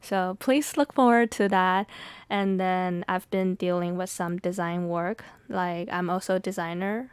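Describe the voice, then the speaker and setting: A woman's voice: on the low side, fairly even intonation, measured pace, clean tone, no room sound. A young adult woman whose first language is Mandarin, speaking as a podcast host in a reflective, confiding, conversational monologue.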